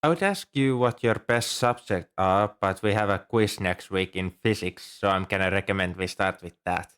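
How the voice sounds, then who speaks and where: A man plays a shy, bookish young student librarian in an ASMR roleplay. His voice is on the low side, and he talks hesitantly, with earnest helpfulness and a readiness to apologize. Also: moderate pace, a bit bright, smooth. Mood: patient, helpful. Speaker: amateur audio roleplay performer. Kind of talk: ASMR roleplay, scripted one-sided dialogue.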